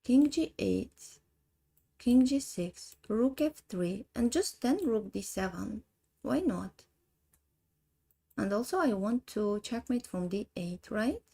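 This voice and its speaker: A woman in her thirties with an Armenian accent, thinking aloud slowly in halting English as she works through chess puzzles on a live stream. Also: fairly high pitch, animated, creaky, dark tone. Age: 30s.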